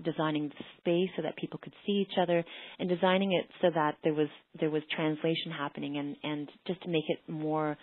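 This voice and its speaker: A woman's voice: deep voice, no echo. A woman giving an informal slide presentation as a panelist, earnest and often rephrasing as she goes. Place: online panel call. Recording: narrowband phone-like online call audio.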